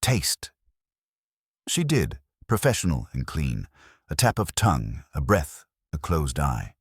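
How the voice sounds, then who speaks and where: A man reading a first-person detective story as a scripted narration, measured and deliberate in short literary phrases. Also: very deep voice, very animated, husky, no echo, clean studio sound. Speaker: audiobook narrator.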